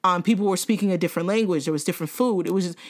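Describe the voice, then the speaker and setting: A young woman co-hosting a podcast in casual chat, animated, laughing often and swearing freely. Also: on the low side, very fast. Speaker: podcast co-host.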